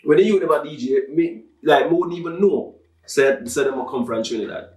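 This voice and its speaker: A man's voice: a little high, a little fast, crowd noise. Jamaican man explaining, roomy and off-mic. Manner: explanatory, seeks agreement. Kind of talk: casual discussion. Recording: echoey room, distant from mic.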